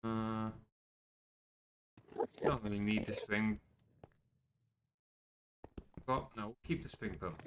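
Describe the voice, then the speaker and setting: A man's voice: on the low side, noisy background. A young man chatting casually while he streams a game, self-mocking and swearing when things go wrong. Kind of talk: casual gameplay commentary.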